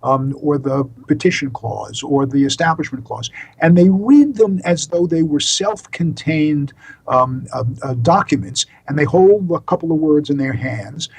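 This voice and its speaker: An older man with an American accent, explaining as an interview guest in a deliberate, emphatic, professorial way. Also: a little high, expressive, a little mellow, husky, poor audio. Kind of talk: explanatory interview talk, lecturing.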